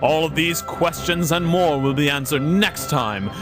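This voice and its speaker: A man's voice: a little high, unhurried, music in the background. A man narrating an adventure serial in a suspenseful, theatrical style.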